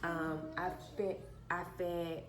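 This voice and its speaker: A woman with a soft, childlike voice telling a personal story casually and emphatically, often repeating herself for effect. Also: fairly low pitch, flat delivery, slow. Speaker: vlogger. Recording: roomy echo, faint background hum.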